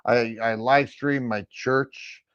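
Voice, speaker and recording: A middle-aged man from Michigan with a raspy voice, hosting a casual firearms livestream and chatting with viewers, rambling and restarting his sentences often. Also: mid-range voice, steady tone, slow, fairly bright tone. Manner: rambling, with frequent stammered restarts. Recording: dull lo-fi stream audio.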